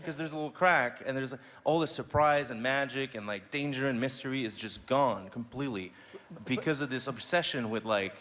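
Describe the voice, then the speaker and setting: A man with a medium-pitched voice lays out his opinions in an explanatory way during a panel discussion. The sound has the long echo of a hall. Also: fairly quick, fairly bright tone. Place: large indoor event hall. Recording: echoey hall.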